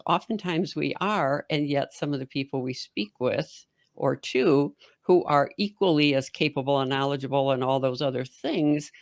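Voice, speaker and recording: Deep-voiced, direct woman host. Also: no echo, clean studio sound. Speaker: podcast host. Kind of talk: interview conversation.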